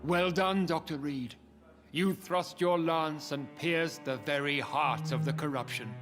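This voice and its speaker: A grandiose club chairman, his fairly high male voice ceremonious and commanding. It is game cutscene drama in a long-reverbed hall, over clear string music. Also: unhurried, fairly bright tone. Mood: grand approval. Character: pompous, commanding lord chairman of a secret club. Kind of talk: video game cutscene acting.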